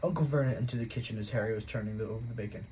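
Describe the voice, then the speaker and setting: A man reading a novel aloud as an audiobook, in plain, steady storytelling. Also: fairly low pitch, racing, slight background noise, rough recording. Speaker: audiobook reader.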